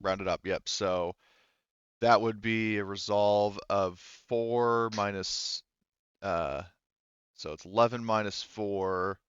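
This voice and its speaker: Man, game master, low voice, informal, swears freely, slightly muffled dry recording. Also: steady tone, slow pace, a bit bright, clear, resonant tone, dry recording. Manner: profane, informal. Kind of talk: casual tabletop game narration, online session.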